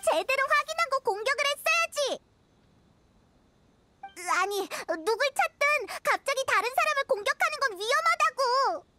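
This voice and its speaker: A woman voicing a tiny, cheeky, childlike fairy companion in a video game, expressive and quick to exclaim. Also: very high-pitched, full character voice. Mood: indignant. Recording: loud music over the voice.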